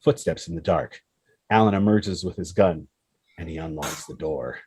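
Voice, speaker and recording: Low, husky man narrating a screenplay. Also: moderate pace, darkish tone.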